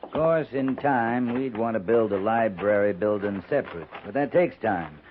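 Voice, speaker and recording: A man's voice: on the low side, normal speed, slight background noise, natural voice. Man acting a dry American cavalry captain, tinny radio. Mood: polite, sly. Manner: dry, understated, courteous but needling. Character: calm, wry army cavalry captain. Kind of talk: 1950s radio drama acting. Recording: tinny, narrow-band old radio recording.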